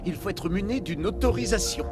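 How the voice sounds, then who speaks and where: A man's voice: high-pitched, lively intonation, a little fast. Lighter-voiced earnest male doctor. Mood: worried, helpful. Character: earnest, grateful male doctor in a research lab. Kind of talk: dubbed video game dialogue. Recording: music behind the voice.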